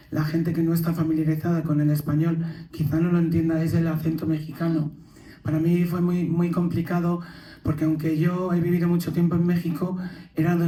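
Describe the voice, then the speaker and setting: Panelist, woman, Madrid Spanish accent; measured, hesitant delivery, low-key dark timbre, roomy stage sound. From Madrid, Spain. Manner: slow, thoughtful, hesitant. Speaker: actor on a panel. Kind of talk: panel Q&A answer. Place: theater stage at a premiere. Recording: echoey theater room.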